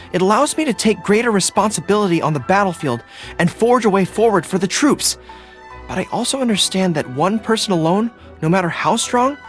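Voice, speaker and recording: A man voicing an earnest, dutiful young dog-eared general in a video game, with crisp military diction. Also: high voice, fairly bright tone. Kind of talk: video game character voice acting.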